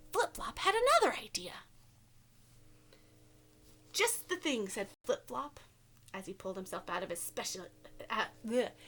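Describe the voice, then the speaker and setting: A woman's voice: a little high, bright, forceful tone, a little hiss or hum. A woman teacher reading a picture book aloud to children, expressively and with simple questions for the listeners. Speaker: elementary school teacher.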